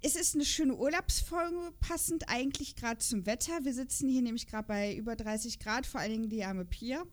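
A woman's voice: a little high, brisk, hardly any pauses. A woman co-hosting a podcast, chatting casually about an audio drama in a rambling, self-correcting way. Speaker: podcast co-host.

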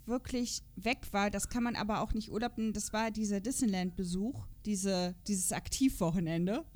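A woman's voice: mid-range voice, normal speed, a little mellow. A woman co-hosting a podcast in a casual chat, speaking in an informal, colloquial and playfully teasing way. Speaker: podcast co-host.

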